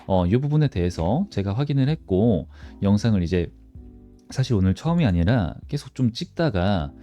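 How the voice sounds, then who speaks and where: A man with a low, soft-edged, mellow voice talks viewers through the stock market. His intonation moves up and down in a lively way as he poses questions and answers them. Faint music plays behind him. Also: normal speed. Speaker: stock analysis channel host. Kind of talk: informal market commentary to viewers.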